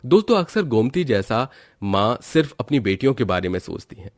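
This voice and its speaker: A man's voice: medium pitch, sing-song, fairly quick, darkish tone, dry recording, studio quality. A man narrating a scripted family story as a storyteller.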